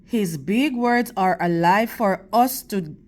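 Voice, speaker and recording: A woman reading a picture book aloud to children like a teacher, in deliberate, measured phrases. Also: medium pitch, a little mellow. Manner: deliberate, measured phrasing with questions and praise addressed to young listeners.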